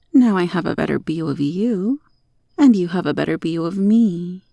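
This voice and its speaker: Slow, creaky monster roach girl, acted by a woman. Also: on the low side, dark tone, no room sound, clean studio sound, exaggerated voice. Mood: coy, teasing. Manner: slow, coy, prim formal phrasing. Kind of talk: ASMR roleplay.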